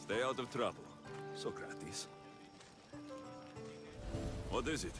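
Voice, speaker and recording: An actor playing a young, cocky, wry mercenary hero of ancient Greece in a video game cutscene, speaking with confidence. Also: male voice, medium pitch, takes it slowly, loud music behind the voice, natural voice. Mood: confident, wry.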